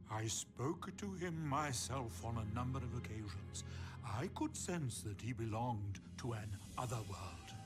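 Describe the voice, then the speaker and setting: An actor playing a solemn, rambling old sage in a video game, formal, musing and absent-minded. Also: male voice, medium pitch. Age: older. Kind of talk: video game character dialogue.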